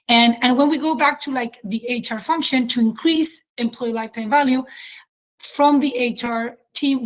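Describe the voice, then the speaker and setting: A woman's voice: a little high, rough recording. A woman with a Chilean accent and Spanish as her first language, hosting a webinar in an informal, explanatory way with hesitant phrasing. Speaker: webinar host, company chief executive. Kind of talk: webinar presentation.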